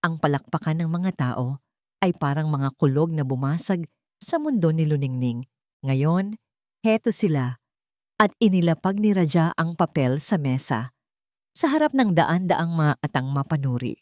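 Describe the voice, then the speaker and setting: Female narrator, low register, dry close recording, measured dramatic read. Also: dry recording. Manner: slow, dramatic, even reading. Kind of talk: scripted storytelling narration.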